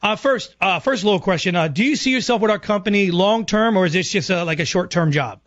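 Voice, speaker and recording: A man's voice: sits high, brisk, fairly bright tone. A man hosting a radio show, talking in a casual, chatty style. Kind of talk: casual radio banter and prank calling. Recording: slightly muffled lo-fi audio.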